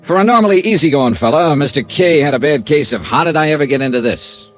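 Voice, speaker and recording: A man's voice: a little high, expressive, fast talker, faint music behind, close to an everyday voice. An American man playing a wry, confident insurance investigator in a 1950s radio detective drama. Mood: dry narrating. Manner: wry, breezy, clipped. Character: wry easygoing freelance insurance investigator, confident and quick-witted.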